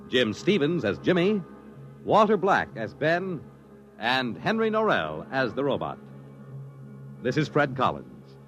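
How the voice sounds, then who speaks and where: A man announcing in a scripted, polished, dramatic 1950s radio style. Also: medium pitch. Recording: 1950s radio broadcast recording.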